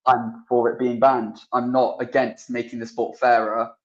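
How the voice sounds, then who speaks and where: A man's voice: mid-range voice, level tone, moderate pace. A man phoning in to a show, giving his opinion casually over a remote call. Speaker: phone-in caller. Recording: slightly dull remote call audio.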